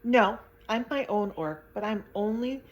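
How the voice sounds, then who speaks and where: A woman's voice: mid-range voice, lively intonation, measured pace, voice pushed up, lightly acted, rough recording. A woman voicing a tiny, friendly flying creature who has shrunk, telling its story chattily. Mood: rueful.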